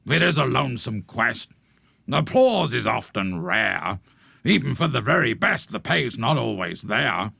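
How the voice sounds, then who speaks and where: A vain, grumbling storybook rhinoceros, with a very gravelly, forceful voice. He speaks slowly and pompously, swooping theatrically up and down as he makes much of himself. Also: medium pitch, sing-song, no room sound, pitched above the performer's own voice, full character voice. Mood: solemn, noble. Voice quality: gravelly, husky. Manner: pompous, theatrical, drawn-out delivery.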